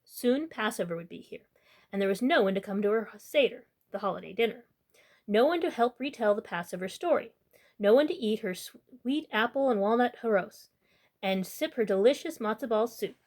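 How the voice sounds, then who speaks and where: A woman's voice: on the high side, animated, moderate pace, darkish tone. A woman hosting a storytime, reading a children's picture book aloud as narrator.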